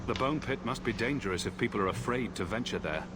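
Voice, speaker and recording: Man playing a sardonic, lively adventurer hero. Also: fairly low pitch, fast talker, background noise, natural voice. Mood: wry, probing. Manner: dry, sarcastic. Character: sardonic, wisecracking young male adventurer hero. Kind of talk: video game dialogue.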